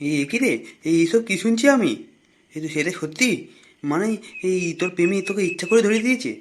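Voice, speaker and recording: A man's voice: high voice, lively intonation, quick pace. A man voicing a nosy, streetwise leader of idle neighbourhood lads in a comic cartoon, slangy and exclamatory. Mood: astonished.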